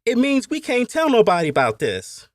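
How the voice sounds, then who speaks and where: Man doing an earnest, high-pitched young boy. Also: huge pitch swings, normal speed, no echo, clean studio sound, exaggerated voice. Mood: earnest, insistent. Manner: rustic Southern dialect, ungrammatical, earnest. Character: earnest young enslaved boy in the old American South.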